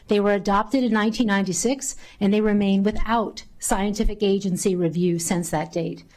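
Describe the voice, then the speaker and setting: A woman's voice: medium pitch, a little mellow, low-quality recording. A woman giving prepared public testimony at a council hearing, reading her statement earnestly into a desk microphone in a council chamber.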